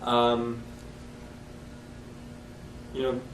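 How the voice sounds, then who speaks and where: A man's voice: mid-range voice, monotone. Reflective South African man, roomy echo. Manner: reflective, halting with restarts. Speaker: interviewee. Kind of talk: oral history interview. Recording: echoey room, distant mic, noisy.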